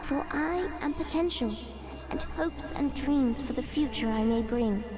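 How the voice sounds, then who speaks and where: Woman voicing an ancient newborn seed spirit, high voice, solemn, formal, oracular, audio drama with music clearly behind. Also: unhurried. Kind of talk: fan audio drama acting.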